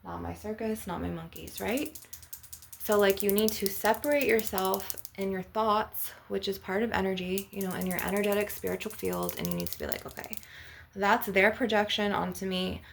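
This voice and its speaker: Woman doing asmr spiritual healer talk, creaky fry in her voice, earnest and reassuring, faint noise w little clicks. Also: medium pitch, expressive, normal speed. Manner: earnest, affirming, instructive. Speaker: spiritual healer and channel host. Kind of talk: ASMR spiritual healing session, addressing the viewer.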